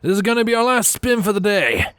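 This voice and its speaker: Guy doing a loud cheeky young pony ride operator, voice pushed higher, storybook character. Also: very animated, a little fast, no room sound.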